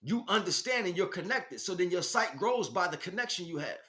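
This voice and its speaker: A man's voice: sits high, lively intonation, brisk, pushed and bright. A man giving an impassioned, informal spiritual lecture in a blunt, emphatic street vernacular, often asking rhetorical questions. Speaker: spiritual teacher, speaker.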